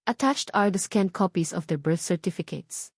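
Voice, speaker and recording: A woman's voice: fairly low pitch, moderate pace, a little mellow, slightly rough, no room sound. A businesslike Filipino investigator , read out by a woman narrator, delivers a formal report in a story. The delivery is matter-of-fact and the sound is dry and close. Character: businesslike male investigator reporting to his boss.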